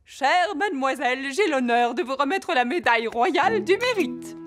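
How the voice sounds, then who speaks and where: A woman's voice: sits high, lively intonation, moderate pace. A woman voicing an elderly, gracious female queen in a cartoon, polite and stately. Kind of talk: cartoon voice acting. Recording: clean studio dub with background music.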